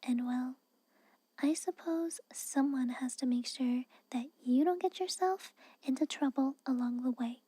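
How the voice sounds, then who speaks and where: A woman playing a haughty, guarded but secretly caring young castle maid in a soft, breathy, whispered ASMR roleplay, hesitant and chiding. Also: high-pitched, a little slow. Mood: aloof, grudging. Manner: hesitant, reluctant, aloof and chiding.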